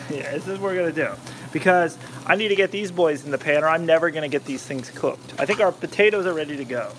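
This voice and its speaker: A man's voice: a little high, fast talker, background noise. A man rambling and joking with his live chat while cooking in his home kitchen. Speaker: cooking vlogger. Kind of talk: casual cooking livestream chat.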